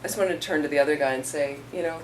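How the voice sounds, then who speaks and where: Low-voiced woman teaching from a distance. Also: rapid-fire. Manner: conversational, storytelling with acted-out quotes. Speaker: Buddhist teacher. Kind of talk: informal dharma talk. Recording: distant mic with faint room noise.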